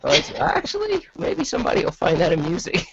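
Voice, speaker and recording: Male podcast guest, husky mid-pitched voice, halting self-deprecating chat, dull lo-fi call. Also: huge pitch swings, fairly bright tone, no room sound. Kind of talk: casual chat.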